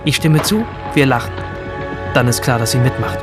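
A man's voice: medium pitch, expressive, moderate pace, lightly acted. An actor playing an obsessive, rebellious male hacker from a virtual future world, narrating a radio drama in the first person. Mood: matter-of-fact. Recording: music under the voice.

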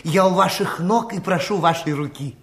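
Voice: medium pitch, moderate pace, fairly bright tone, lightly acted. A performer playing a scheming, courtly suitor prince in a fairy-tale audio play, florid and gallant. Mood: gallant, wooing.

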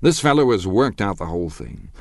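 A man's voice: fairly low pitch, sing-song, brisk, no room sound, studio quality, voice pushed up. A man doing a blustering, domineering rich man. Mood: blustering, insistent. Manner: loud, forceful, lecturing. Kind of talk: audiobook character voice.

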